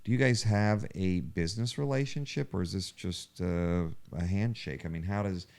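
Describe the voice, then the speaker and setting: Casting: male podcast host, very deep and resonant, earnest and conversational. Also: moderate pace, creaky, darkish tone.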